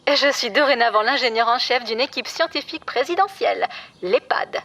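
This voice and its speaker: A woman's voice: a little high, lively intonation, moderate pace, a bit bright. A woman playing a proud, self-important chief engineer in an audio comedy, playfully pompous. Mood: smug, playful. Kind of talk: scripted audio comedy fiction acting.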